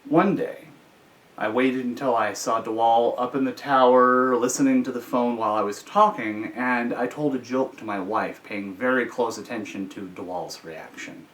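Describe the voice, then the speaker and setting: An American man telling personal stories in an informal, measured, explanatory way. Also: mid-range voice, a bit bright. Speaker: storyteller, host. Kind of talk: informal explanatory storytelling about personal experience. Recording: slightly distant from the microphone.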